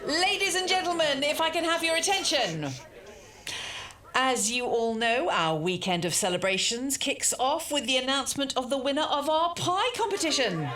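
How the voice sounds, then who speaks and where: A woman's voice: sits high, expressive, moderate pace, crowd noise. An actress playing a prickly, competitive British committee woman in a radio soap. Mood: formal announcing.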